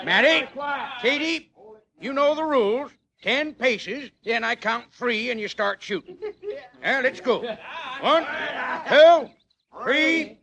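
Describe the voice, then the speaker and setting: Chatty frontier townsman, American Western drawl, high pitch, radio western, crowd behind on old recording. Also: exaggerated voice. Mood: loud, officious. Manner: drawling, folksy.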